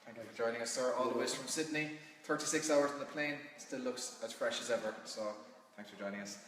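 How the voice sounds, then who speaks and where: A man's voice: mid-range voice, fairly quick, a bit bright. A man with an Irish accent hosting a casual interview as the one asking the questions. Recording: very echoey hall, distant mic, faint noise.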